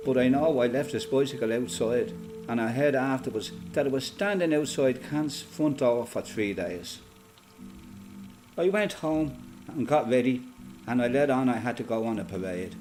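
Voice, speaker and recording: Man playing Irish freedom fighter, male, mid-pitched, plain-spoken, resolute, measured matter-of-fact testimony, radio play, music underneath. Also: expressive, lightly acted. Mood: matter-of-fact recollection. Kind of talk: scripted first-person testimony in a radio play.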